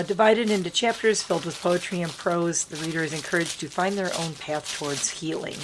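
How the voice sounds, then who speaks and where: Hoarse, stuffy woman vlogging from bed. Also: low voice. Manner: chatty, rambling, apologetic. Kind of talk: casual chat to camera. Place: bedroom at home, dim light. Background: crinkling dog toy, faint noise.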